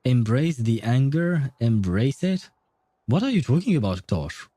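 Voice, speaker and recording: Mellow, rambling man streaming. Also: mid-range voice, very animated. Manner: halting, rambling, amused. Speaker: livestreamer. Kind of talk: casual live chat while gaming.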